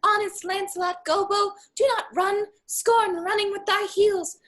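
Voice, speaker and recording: A woman's voice: extremely high pitch, fairly even intonation, a little slow, fairly bright tone, pitched above the performer's own voice. A woman voicing a servant's prim, pleading conscience in an insistent, admonishing manner, as in a theatrical Shakespeare reading.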